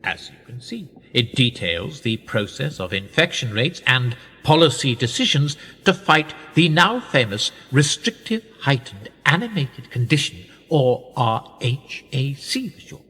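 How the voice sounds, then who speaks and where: An actor playing a sly, persuasive older conspirator in a radio play, theatrical and insinuating, with husky, drawn-out, often hushed phrases. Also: male voice, mid-range voice, sing-song, heavily acted. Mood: intent. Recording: echoey hall-like reverb.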